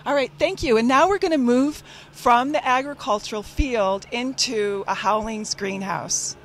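A woman's voice: fairly high pitch, unhurried, background noise. Upbeat woman hosting a farm interview. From upstate New York. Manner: upbeat, enthusiastic. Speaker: interviewer, agriculture education coordinator. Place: outdoors on a farm, then inside a greenhouse.

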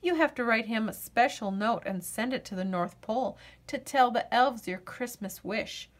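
A woman's voice: a little high, moderate pace, natural voice. A woman voicing a patient, persuasive young boy, a big brother who coaxes and reasons, in a children's storybook. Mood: coaxing. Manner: coaxing, reasoning. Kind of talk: children's storybook read-aloud.

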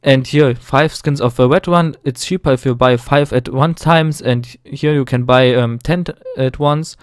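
A man's voice: mid-range voice, normal speed, dry recording, clean studio sound. Nervous young man with a German accent. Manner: nervous, hesitant, many fillers and restarts. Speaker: gaming tutorial host. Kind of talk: screen-recorded tutorial narration.

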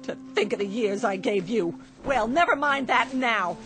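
A bitter, sarcastic wife. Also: female voice, fairly high pitch, animated, normal speed, a bit bright, faint music behind. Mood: furious. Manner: sarcastic, scornful, biting. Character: bitter sharp-tongued middle-aged wife Phyllis. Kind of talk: radio drama acting.

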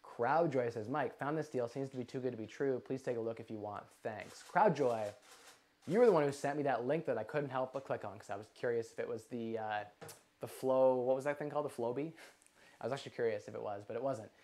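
Young man, rapid delivery, casual and animated, living room, camera mic. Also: mid-range voice. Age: 20s. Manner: energetic, talks with his hands, lots of "like". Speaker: livestream host. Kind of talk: live Q&A on investing. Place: living room with a couch, indoors.

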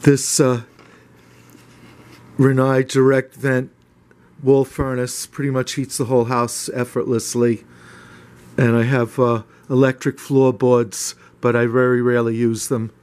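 A man's voice: mid-range voice, flat delivery, measured pace, a little mellow, clean tone. A man giving a casual walking tour of his small renovated cottage, speaking hesitantly with many fillers and restarts, recorded on a handheld camera indoors. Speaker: homeowner giving a house tour.

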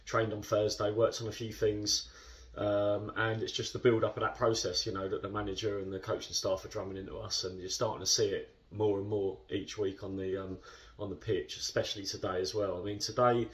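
A man in his thirties, a football club captain, talking through a match in a post-match interview, chatty and measured with many fillers. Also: on the low side, little pitch movement, distant mic. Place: indoor club room.

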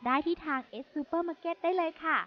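A woman's voice: very high voice, steady tone, measured pace, lo-fi sound. A young woman hosting a television show, presenting in a polite, chirpy scripted style. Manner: polite, chirpy, frequent soft polite particles. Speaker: TV show host. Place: inside a busy supermarket.

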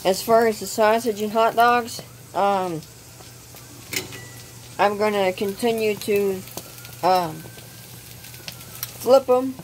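A woman hosting her own cooking channel, explaining step by step outdoors at a grill, halting often with ums. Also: mid-range voice, a little hiss or hum, low-quality recording. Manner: unhurried, hesitant with frequent ums. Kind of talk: casual cooking demonstration.

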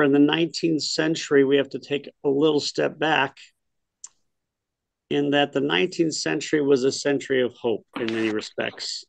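A man's voice: mid-range voice, creaky, no room sound. A middle-aged man teaching an adult history class over a video call, thinking aloud, correcting himself and quizzing his listeners. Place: home study with bookshelves. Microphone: webcam on a video call.

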